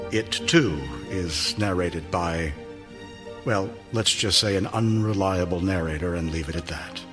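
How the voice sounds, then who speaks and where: Man hosting a live theater show. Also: lowish voice. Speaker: host, producer and actor. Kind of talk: theatrical spoken introduction to a live audience.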